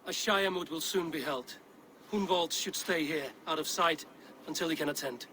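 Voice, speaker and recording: An actor playing a stern, steady Viking warrior in a game cutscene, speaking in a blunt, measured, weighty way. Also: male voice, on the high side, a little hiss or hum. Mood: steady, wary. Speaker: video game lead character. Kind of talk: dramatic game cutscene acting.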